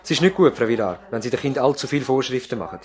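A man's voice: mid-range voice, animated, rapid-fire, darkish tone, close to an everyday voice. A man playing an earnest, worried country doctor in a radio drama, formal and serious, with a Swiss accent. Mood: explaining. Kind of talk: German-language radio drama acting. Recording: slightly veiled 1970s radio drama sound.

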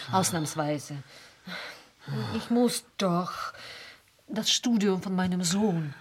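A woman's voice: fairly low pitch, a little hiss or hum, close to an everyday voice. An actress playing an anxious, hard-working middle-aged cleaning woman, concerned and fussing, in a radio drama.

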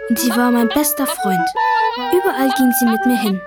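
A woman's voice: on the high side, lively intonation, normal speed, lightly acted. A woman playing an affectionate young boy who tells his story in a childlike, earnest way in a radio play. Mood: fond narrating. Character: young boy Peti, affectionate, devoted to his pet goose. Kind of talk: German radio play narration and dialogue. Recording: music behind the voice.

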